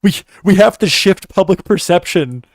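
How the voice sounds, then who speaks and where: A man's voice: high-pitched, animated, moderate pace, no room sound. A man giving mocking, profane commentary in a sarcastic, scornful way. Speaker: commentary host.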